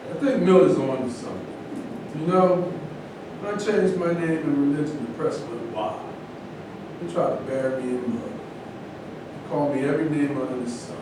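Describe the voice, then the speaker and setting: A man's voice: moderate pace, natural voice. A man in a staged play reading, playing a defiant, embittered public figure. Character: defiant, embittered male public figure who changed faith. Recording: very echoey distant room, rumbling noise.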